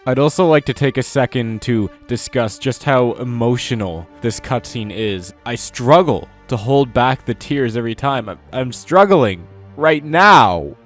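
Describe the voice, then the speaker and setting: A man's voice: medium pitch, lively intonation, measured pace, hardly any pauses. A man hosting a scripted countdown video, commenting in a mock-earnest way with exaggerated praise. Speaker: video host. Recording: music under the voice. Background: music.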